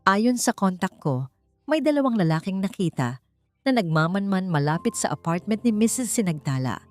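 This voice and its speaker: A woman's voice: mid-range voice, big pitch swings, moderate pace, lightly acted. A Filipino woman voicing a loyal, respectful male assistant who defers to his boss in an audiobook story. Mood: worried. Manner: respectful, dutiful. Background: background music.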